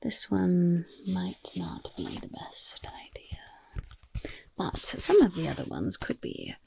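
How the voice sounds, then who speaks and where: A woman voicing a friendly female goblin rogue in an ASMR fantasy roleplay, with a breathy, raspy whisper and gently encouraging, genteel phrasing. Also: lowish voice, wildly swooping pitch, slow pace, exaggerated voice. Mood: helpful, musing.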